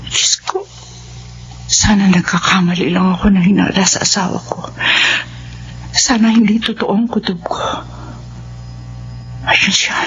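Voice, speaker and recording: A woman's voice: medium pitch, steady tone, unhurried, long pauses, lightly acted. An actress with a Filipino accent playing an anxious, suspicious wife and mother in a radio drama. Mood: fearful, hushed. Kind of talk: radio drama acting with murmured inner monologue. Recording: dull, noisy radio drama audio.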